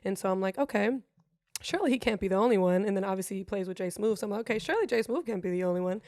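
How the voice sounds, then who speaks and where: A woman's voice: medium pitch, animated, very fast, a little mellow, dry recording. Candid woman, dry sound. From Germany. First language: German. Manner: rambling, frank, swears freely. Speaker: guest streamer. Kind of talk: casual interview chat.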